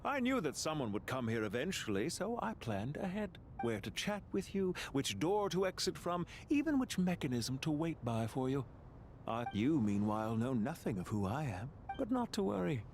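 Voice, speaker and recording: An actor playing a calm, courteous and condescending male mastermind villain in a video game, speaking in a measured, smug, polite way. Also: fairly high pitch, a little hiss or hum. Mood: smug, amused. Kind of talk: video game character acting.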